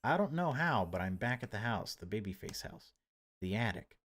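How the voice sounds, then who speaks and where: A man with a deep, low voice narrates a horror game aloud. He reads it like a storyteller, theatrical and often hushed, as a let's-play host would. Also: very animated, normal speed. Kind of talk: dramatic read-aloud narration of a horror visual novel.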